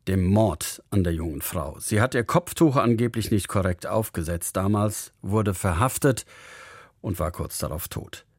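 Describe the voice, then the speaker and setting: A man hosting a radio interview, asking measured, formal questions. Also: low voice, darkish tone, no echo, studio quality. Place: radio studio.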